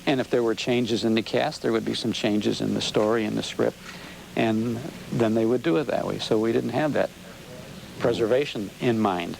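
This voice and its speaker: An American man, middle-aged or older, explaining things as an unhurried storyteller while being interviewed on television. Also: on the low side, vocal fry, a little mellow. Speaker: interview guest, old-time radio show host and collector. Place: TV studio interview. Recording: noisy 1990s local TV broadcast.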